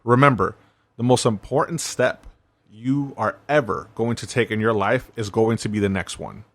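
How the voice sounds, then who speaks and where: A man's voice: fairly low pitch, normal speed, no echo. A man hosting a self-help podcast, talking in a laid-back, conversational way and often checking in with "right?". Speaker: podcast host.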